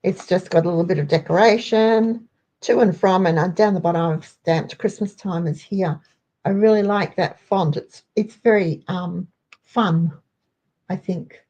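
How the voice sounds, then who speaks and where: A woman hosting a casual live crafting tutorial, chatty and rambling, with a croaky voice today. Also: lowish voice, unhurried, warm tone, highs cut off. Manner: chatty, rambling, greets viewers by name. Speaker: crafting host.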